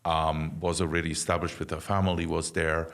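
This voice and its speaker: Deep gravelly man with a German accent. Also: level tone, a bit bright. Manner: halting, many fillers and restarts. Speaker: guest. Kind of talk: casual conversational interview.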